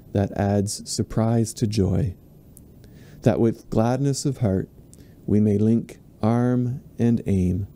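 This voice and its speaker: A man in his forties, a minister leading online worship from a home office, reading prayers in a slow, measured way into a webcam. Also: fairly low pitch, warm tone. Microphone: webcam at desk, video call framing.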